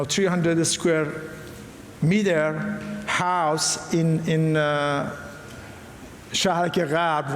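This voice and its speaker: Iranian-accented man speaking in a hall. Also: a little high. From Iran. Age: middle-aged. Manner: earnest, halting, frequent restarts. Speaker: guest speaker. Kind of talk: personal talk to a live audience. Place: large hall. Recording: echoey hall, faint room noise.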